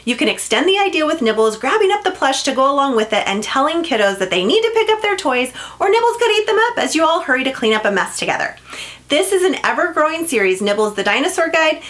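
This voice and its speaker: Female promo narrator, high register, swooping intonation, upbeat scripted pitch for a family audience. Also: sing-song, fast, fairly bright tone. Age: adult, parent of a school-age child. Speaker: children's book seller and reading coach.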